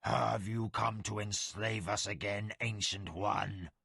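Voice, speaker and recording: A man voicing a tall, proud male tiger-man warrior chief, slow and snarling. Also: fairly low pitch, fairly even intonation, vocal fry, sharp tone, breathy edge, no echo, clean studio sound, voice pushed down, heavily acted. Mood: fierce, wary.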